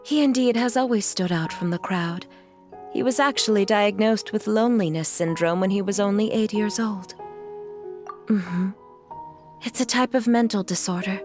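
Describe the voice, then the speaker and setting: A woman playing a gentle, genteel mother and employer in a video game, speaking politely and in measured phrases over music. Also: mid-range voice, natural voice. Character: refined, gentle, courteous mother and wealthy employer.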